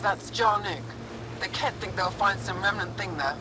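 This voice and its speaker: A man's voice: sits high, moderate pace, background noise, lightly acted. An actor playing a male alien resistance scout giving an urgent briefing, with music under the game audio.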